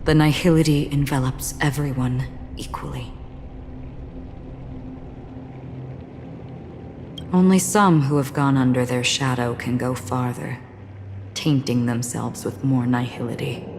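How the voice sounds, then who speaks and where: A woman voicing a calm, enigmatic, solemn wanderer in a video game cutscene, speaking in a measured, stoic way. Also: rumbling low, slight background noise. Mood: solemn, detached. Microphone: close studio voice recording. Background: game music.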